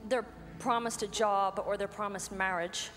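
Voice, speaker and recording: A woman in her thirties from Ireland tells her story on stage in short, earnest, measured phrases, pausing after each one. A long hall reverb trails her voice, and clear music plays beneath. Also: medium pitch, fairly even intonation. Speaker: missionary guest. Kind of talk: testimony on stage. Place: large hall. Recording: echoey hall with music behind.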